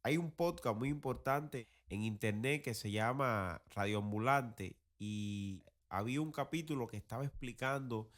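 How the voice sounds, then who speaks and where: Man, medium pitch, podcast host, casual commentary, colloquial, opinionated. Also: unhurried, few pauses, a bit bright. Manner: conversational, critical, colloquial.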